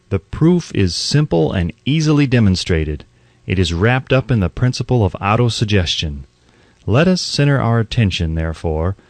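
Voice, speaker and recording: A male audiobook narrator with a lively, widely moving pitch in a middle register. He reads scripted self-help prose with deliberate emphasis and measured pauses. The sound is dry and close. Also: dry recording.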